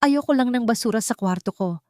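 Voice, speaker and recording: A proud young man from a romance audio drama, voiced by a woman storyteller. He is curt and commanding, with tenderness hidden under the gruffness. The recording is close and dry. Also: a little high, brisk, darkish tone, no echo, voice pushed up, natural voice. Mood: angry, curt.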